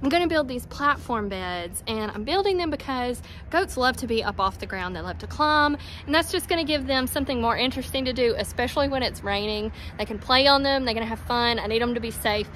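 A woman in her thirties with a drawl talks chattily to a phone held at arm's length, her voice pitched a little high. She is outdoors on a farm, and steady background noise runs under her words. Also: brisk, hardly any pauses. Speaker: homestead vlogger. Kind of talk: casual vlog talking to camera. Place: outdoors on a farm and inside a barn. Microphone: handheld phone at arm's length.

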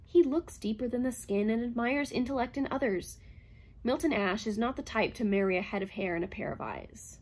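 A woman voicing an earnest, gentle male robot who reads minds, speaking in a soft, pleading and reassuring way. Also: on the high side, animated, fairly quick, breathy edge. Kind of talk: audiobook character reading.

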